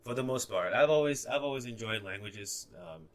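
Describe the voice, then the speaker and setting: A man's voice: medium pitch, animated, fairly quick. A young man in his twenties from Ghana, a native Ga speaker with a slight Ghanaian accent, talking hesitantly with many fillers and restarts in a casual podcast conversation. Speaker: guest.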